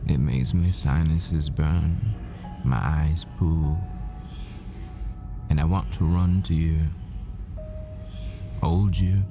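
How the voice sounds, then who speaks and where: A man playing a tender, haunted professor boyfriend in an intimate audio roleplay, speaking in halting, wistful, confessional phrases. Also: rumbling low, steady tone, exaggerated voice. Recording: music behind the voice. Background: music.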